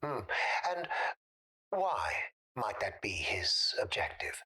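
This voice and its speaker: A man voicing a sly, calculating supernatural caller in an audio drama, with a breathy rasp and a theatrical, taunting manner. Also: on the low side, sing-song, takes it slowly, sharp tone, heavily acted. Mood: coolly probing.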